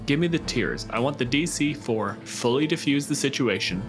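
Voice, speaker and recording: A man's voice: mid-range voice, expressive, moderate pace, music in the background. A man casually refereeing a tabletop game as the game master.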